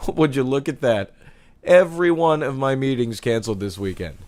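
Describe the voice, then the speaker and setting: A man's voice: mid-range voice, unhurried, darkish tone, close to an everyday voice. A man playing a casual, playful, chummy fellow in an audio drama. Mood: playful, curious. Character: casual, playful, chummy male listener with gadget.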